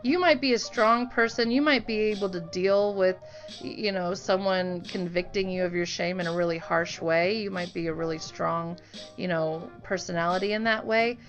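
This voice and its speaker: A woman's voice: on the low side, moderate pace. A young adult woman speaking earnestly and conversationally, sharing informal reflections with her viewers. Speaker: online art channel host; teacher. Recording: voice with music behind. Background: music.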